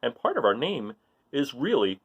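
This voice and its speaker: Man, mid-pitched, highly animated read-aloud storyteller for kids; informal, questioning, encouraging. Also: moderate pace, husky, dull audio. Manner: informal, question-asking, encouraging, with expressive delivery. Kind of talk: reading a children's riddle picture book aloud, chatting to young listeners.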